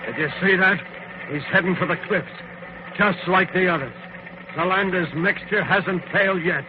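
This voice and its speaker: An actor playing a ruthless, commanding gang boss in an old-time radio drama, with brusque delivery. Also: male voice, high voice, moderate pace, close to an everyday voice. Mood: brisk, callous. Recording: muffled, noisy vintage radio recording.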